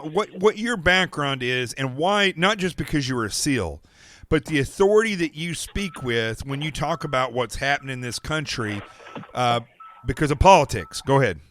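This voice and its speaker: A man with an American accent hosting a podcast, talking in a casual, rambling way with restarts and quick asides. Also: on the high side, fairly bright tone, slight background noise. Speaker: podcast host.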